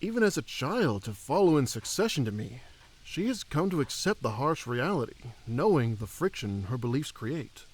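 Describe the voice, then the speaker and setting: A man playing a humble, troubled elf king and father in a fantasy audio drama, reflective and gently formal. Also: mid-range voice, very animated, moderate pace, slightly rough.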